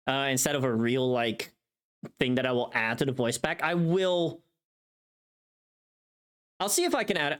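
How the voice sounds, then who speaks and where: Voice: mid-range voice, fairly bright tone, clean tone. A streamer chatting casually and thinking aloud in a rambling way while working through tasks on a livestream. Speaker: streamer recording a voice pack.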